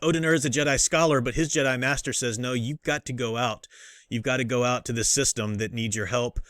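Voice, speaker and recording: A man's voice: mid-range voice, fairly even intonation, fairly quick, a bit bright, no room sound, clean studio sound. A man hosting a casual review, explaining things in an enthusiastic, emphatic, teacherly way. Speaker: host and book reviewer. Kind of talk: casual comic review commentary.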